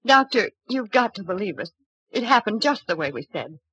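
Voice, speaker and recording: A woman's voice: mid-range voice, moderate pace, husky, no echo, exaggerated voice, low-quality recording. An actress playing an anxious, defiant young working woman in a melodramatic old-time radio drama. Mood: nervous, earnest. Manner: excitable, emphatic.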